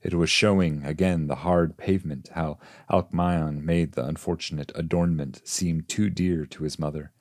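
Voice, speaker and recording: Guy with a low voice reading old epic poetry, solemn and measured, really dry close sound. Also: fairly even intonation, no echo. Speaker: translator and reader of a classic poem. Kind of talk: literary audiobook narration of poetry.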